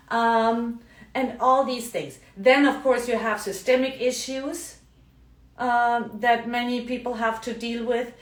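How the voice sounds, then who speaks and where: German-accented woman coaching in a roomy space. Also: a little high. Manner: chatty, frequent tag questions. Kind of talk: live video talk. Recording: roomy, mic at a distance.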